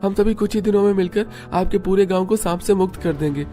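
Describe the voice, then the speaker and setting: Man playing a high-pitched confident village fellow. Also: quick pace, mellow, faint music behind, close to an everyday voice. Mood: reassuring.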